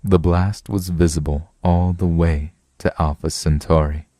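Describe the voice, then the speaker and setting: A man's voice: sits low, fairly even intonation, measured pace, warm tone, dry recording, clean studio sound. A man narrating a science fiction story as a scripted audiobook reading.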